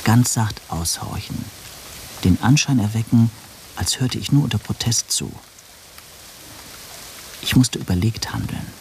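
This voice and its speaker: A man's voice: lowish voice, expressive, unhurried, pauses often, darkish tone, a little hiss or hum. An actor playing an anxious, scheming man who narrates his own suspicions in a German radio play. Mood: tense, scheming. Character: anxious, scheming male first-person narrator, brooding over suspicions.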